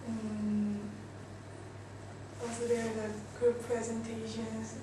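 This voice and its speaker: A young woman from South Korea with a Korean accent, presenting informally as an exchange student in careful, halting short phrases. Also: on the high side, completely flat delivery. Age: young adult. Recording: very echoey noisy room, distant mic.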